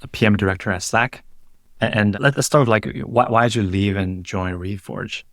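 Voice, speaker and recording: A man hosting a casual interview, agreeing and repeating words haltingly as he forms his points. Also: on the low side, a bit bright, dry recording, studio quality. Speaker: podcast host, interviewer.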